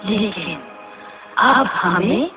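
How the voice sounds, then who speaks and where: A woman's voice: medium pitch, lively intonation, drawn-out words, faint music behind. A woman playing a menacing, immortal gas spirit in a radio drama, declaiming ominous warnings in a theatrical way. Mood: ominous, boastful. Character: menacing, immortal female three-faced toxic gas spirit. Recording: noisy, tinny phone-like radio recording.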